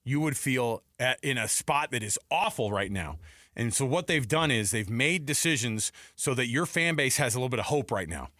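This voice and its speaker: A man's voice: mid-range voice, lively intonation, normal speed, sharp tone, dry recording. A man co-hosting a sports talk radio show in an emphatic, informal, opinionated way, often repeating himself, on a close studio mic. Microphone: close broadcast studio mic.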